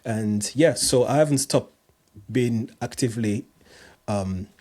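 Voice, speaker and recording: A man's voice: medium pitch, unhurried, darkish tone. A man in his thirties with a French accent, a personal trainer talking easily as a podcast guest with many restarts and fillers. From France and other countries. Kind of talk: casual podcast interview.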